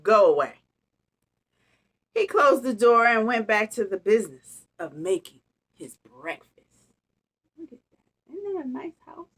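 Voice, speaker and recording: Female storytime reader: high-pitched, highly animated, unhurried, playful chat between lines of the book. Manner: expressive, playful, chatty. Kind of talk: read-aloud with casual asides to children.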